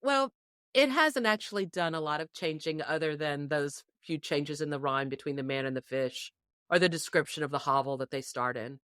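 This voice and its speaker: A woman podcast panelist casually discussing a folk tale, telling it in an expressive storytelling way. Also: sits low, normal speed, smooth, no echo, clean studio sound.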